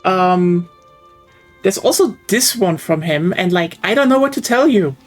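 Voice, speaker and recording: A woman's voice: lowish voice, lively intonation, unhurried, faint music behind. A woman who learned English as a second language, chatting casually and teasing her friends during a game session. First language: not English. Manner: sarcastic and teasing, laughs often. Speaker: co-player in a streamed game session. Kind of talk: casual chat.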